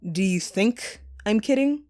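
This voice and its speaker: Casting: woman to play a scorned actress turned sadistic captor for horror audio drama; unhurried, highly animated delivery, mocking and menacingly playful. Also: faint music behind, natural voice. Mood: taunting, sinister playful. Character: vengeful jilted actress, a sadistic hostage-taker playing director.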